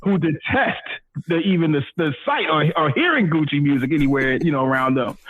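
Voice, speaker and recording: A man's voice: on the high side, brisk. Male radio guest, casual self-mocking storyteller, slangy delivery, telephone-quality sound. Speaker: radio personality being interviewed as guest. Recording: thin phone-like audio.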